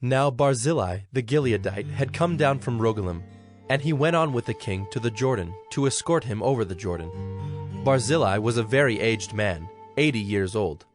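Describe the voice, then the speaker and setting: A man's voice: medium pitch, animated, normal speed. A man reading scripture as the narrator of a dramatized production, in scripted storytelling style. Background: soft music.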